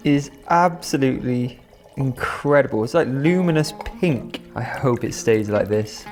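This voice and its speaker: A man's voice: mid-range voice, unhurried, darkish tone. A Welsh man talks casually to camera, enthusiastic and a little rambling as he works. His voice sits in a middle register. Faint music plays underneath. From Wales. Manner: chatty, enthusiastic, talks while working. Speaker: vlogger and shop owner.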